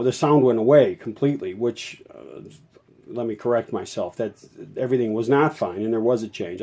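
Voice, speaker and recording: A man's voice: medium pitch, dark tone. Folksy man talking through a repair. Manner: folksy, thinking aloud, self-correcting. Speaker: radio repair hobbyist and video host. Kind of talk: casual unscripted repair walkthrough.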